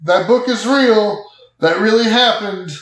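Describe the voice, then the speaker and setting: A man with a fairly high voice plays a tabletop role-playing game. He describes his actions in a casual, conversational first person, with clear room echo around the voice. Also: unhurried. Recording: roomy, echoing room sound.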